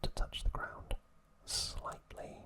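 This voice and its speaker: The man you would hear on a late-night ASMR channel, whispering slowly through episode trivia to someone tucked up in bed, leaving deliberate gaps between phrases. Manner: hushed, unhurried, deliberate pauses. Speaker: ASMR host.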